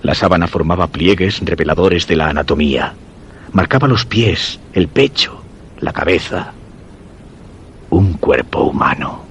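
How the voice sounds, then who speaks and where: An actor playing a weakened, dread-stricken husband narrating a macabre tale in grave, measured Castilian Spanish for a radio horror drama. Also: male voice, low-pitched, expressive, creaky, a little hiss or hum, heavily acted. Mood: fearful dread. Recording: dull radio sound with music underneath.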